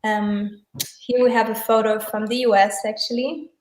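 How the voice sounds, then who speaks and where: German-speaking woman, echoey flat voice. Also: on the high side, moderate pace. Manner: hesitant, many fillers and restarts. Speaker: guest, tarot deck creator and photographer. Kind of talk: casual interview chat.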